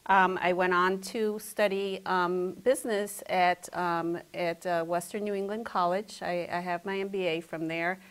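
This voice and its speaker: A woman in her fifties, born in Ecuador and raised in Massachusetts, answering interview questions in a halting, conversational way. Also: fairly low pitch, steady tone. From born in Ecuador, raised in Massachusetts from age eight. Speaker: school principal, interview guest.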